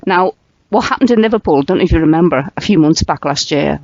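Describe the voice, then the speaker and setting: A woman's voice: low voice, fast, darkish tone, no echo. Chatty woman with a light Merseyside accent. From England, Wirral. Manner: chatty, laughs often, tells stories. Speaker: radio show host. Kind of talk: casual interview chat. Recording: dry, dull lo-fi audio.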